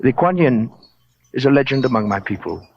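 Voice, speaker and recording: Fat urbane villain, florid, silky, deliberate menace, vintage radio drama, muffled with faint hiss. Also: male voice, medium pitch, expressive, vocal fry. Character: huge, urbane, menacing gentleman collector of fake Oriental art. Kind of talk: 1950s radio crime drama acting. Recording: muffled, hissy old radio recording.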